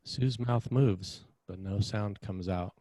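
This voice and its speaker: Male narrator, lowish pitch, measured, matter-of-fact, clipped phrasing, reading screenplay stage directions. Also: warm tone, dry recording.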